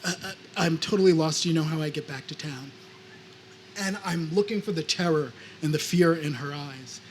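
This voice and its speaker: A man's voice: on the high side, moderate pace, a little hiss or hum. A man from the United States, a panelist author, telling a story animatedly on a live panel and acting out other people's voices.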